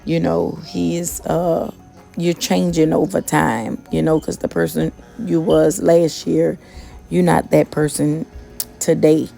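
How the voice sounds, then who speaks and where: A woman in a casual vlog, talking about motivation in an unhurried monotone. Her voice is deeply gravelly and mellow. Soft music plays faintly behind her. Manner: monotone, self-described; conversational, many fillers and restarts. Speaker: motivational vlogger and gig worker.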